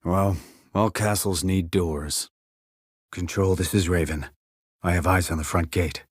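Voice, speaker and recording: A man playing a terse, calm military sniper in a video game, clipped and controlled, reporting in tactical radio calls. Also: measured pace, no echo, studio quality. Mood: cool, businesslike. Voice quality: low, husky. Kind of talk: tactical radio call acting.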